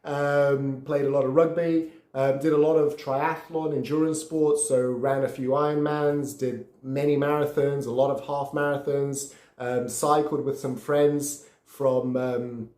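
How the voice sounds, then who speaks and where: A man's voice: medium pitch, level tone, unhurried, mellow, roomy sound. A man in his thirties from Brazil, hosting a podcast and telling his life story in an earnest, casual way, often repeating words as he searches for what to say. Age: 30s. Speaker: podcast host.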